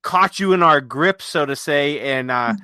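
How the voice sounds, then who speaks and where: A man's voice: a little high, measured pace, bright, forceful tone, clear, resonant tone, no echo, studio quality. A man hosting a podcast in a casual, unscripted, rambling conversational way. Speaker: podcast host.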